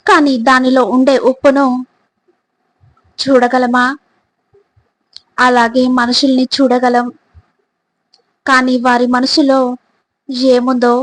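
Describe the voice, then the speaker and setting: A woman narrates scripted motivational reflections in a high voice. She goes slowly and deliberately, setting out each short phrase by itself. Her intonation stays very even from phrase to phrase. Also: rough recording. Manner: deliberate, phrase-by-phrase delivery with rhetorical questions.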